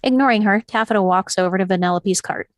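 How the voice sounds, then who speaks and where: A woman's voice: mid-range voice, fast talker, dry recording, clean studio sound. A woman reading screenplay stage directions as scripted narration.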